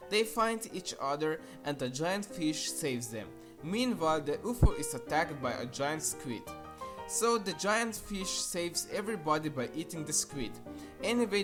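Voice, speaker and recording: A woman with a low-pitched voice delivers an opinionated countdown review. She takes her time over each sentence, sounding blunt and sarcastic, with casual crude language. Music plays clearly behind her. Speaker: video reviewer.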